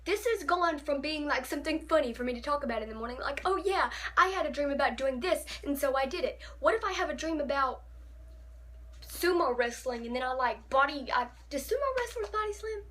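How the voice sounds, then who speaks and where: A woman's voice: high voice, animated, brisk, a bit bright. A teenage girl telling funny stories to her vlog audience in a chatty, dramatic, self-mocking way. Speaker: vlogger. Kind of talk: casual storytime vlog.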